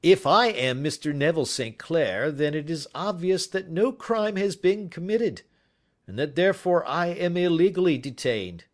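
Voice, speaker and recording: A man voicing a despairing male prisoner who pleads slowly and with anguish. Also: a little high, animated, voice pushed up, natural voice. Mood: indignant. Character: despairing male prisoner, proud then broken.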